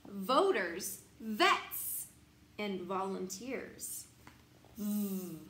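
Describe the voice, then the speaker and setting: A young woman reading a children's bedtime picture book aloud in an expressive, sing-song, teacherly way, emphasizing key words. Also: mid-range voice, extremely slow. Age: young adult. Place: small glassed-in sunroom. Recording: roomy echo, light background noise.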